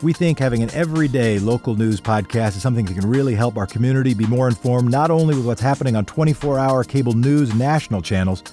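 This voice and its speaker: A man's voice: medium pitch, fast talker, few pauses, warm tone, soft background music. A man hosting a local news podcast, reading the outro in a playful, mock-insistent way. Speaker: podcast host. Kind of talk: show outro.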